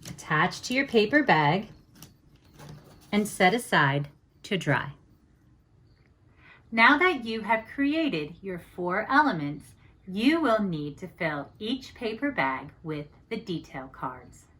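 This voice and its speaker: A woman teacher giving an instructional lesson, explaining deliberately step by step. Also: medium pitch, very animated, a bit bright, husky. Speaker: teacher presenting a writing activity.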